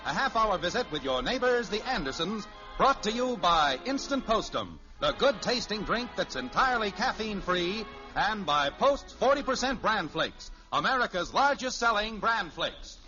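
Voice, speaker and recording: A male announcer in 1950s radio style reads scripted narration. His voice is high and lively in pitch. Music plays behind him on a dated recording. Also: moderate pace. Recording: dull old radio recording.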